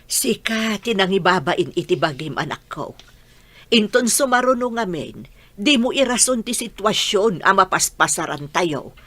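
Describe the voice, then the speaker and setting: A woman's voice: mid-range voice, expressive, moderate pace, fairly bright tone, no room sound. A woman playing a stern, reproachful mother in a radio drama. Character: stern, reproachful mother scolding her child.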